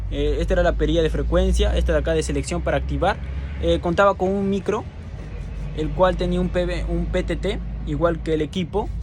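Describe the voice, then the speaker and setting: A young adult man speaks in a somewhat high voice, explaining practical work like a student presenter. His delivery is hesitant, with words restarted and corrected. A faint layer of noise sits behind the voice. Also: a little mellow. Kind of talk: explanatory lab report presentation.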